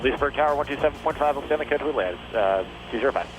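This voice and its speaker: Fast-talking male pilot under loud drone. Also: mid-range voice, expressive, loud music behind the voice, low-quality recording. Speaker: pilot making radio calls. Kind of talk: quick radio readbacks. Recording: quiet radio call under loud engine drone.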